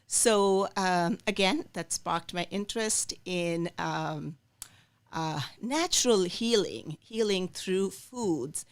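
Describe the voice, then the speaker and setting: Woman from India, middle-aged, slightly low voice, chatty guest storytelling, lots of ums. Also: slow pace. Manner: warm, storytelling with many fillers. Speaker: dietitian, podcast guest. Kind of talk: interview, telling her life story.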